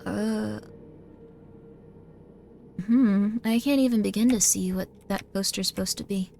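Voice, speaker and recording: A woman's voice: mid-range voice, unhurried, vocal fry, warm tone, a little hiss or hum. A woman live-streaming a game, chatting in a bubbly way and often thanking her viewers. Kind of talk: casual live-stream chat while gaming.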